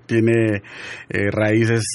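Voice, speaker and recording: Radio host, male, low voice, jovial and chatty, faint room noise. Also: monotone, extremely slow, a little mellow. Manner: jovial, chatty, teasing guests. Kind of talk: casual radio interview.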